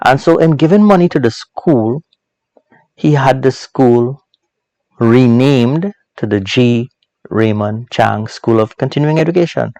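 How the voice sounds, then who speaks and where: A man hosting a teaching series, speaking in a reflective, sermon-like but informal way, deliberate and emphatic, with repeated phrases and rhetorical questions. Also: mid-range voice, mellow, dry recording, poor audio. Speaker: host of a Bible proverbs teaching series.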